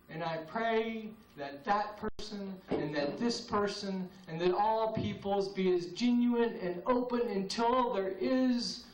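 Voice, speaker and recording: A man performing spoken-word poetry at an open mic, with a slow, solemn, incantatory delivery and long pauses. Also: high-pitched. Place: open mic night in a cafe. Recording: roomy, distant VHS tape recording.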